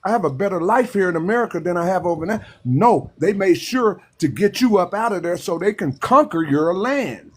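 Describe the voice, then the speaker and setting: A man's voice: sits high, lively intonation. A man from Texas, making his point with emphasis and repeated restarts in a live panel discussion. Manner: emphatic, repetitive, halting restarts. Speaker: panel speaker.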